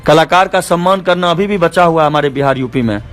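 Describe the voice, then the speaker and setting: A man's voice: a little high, quick pace, a bit bright. A man with a Bihari accent in an argumentative, insistent phone negotiation, speaking as a show organizer. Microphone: phone call. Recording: phone call recording with faint music.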